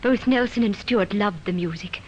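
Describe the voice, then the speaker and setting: An actress playing a genteel, fluttery widow in an old radio drama, hesitant and plaintive in manner. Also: female voice, medium pitch, moderate pace, lightly acted. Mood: wistful, hesitant. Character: genteel, fluttery widow under suspicion of murder. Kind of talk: 1950s radio drama acting. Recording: dull, noisy old radio recording.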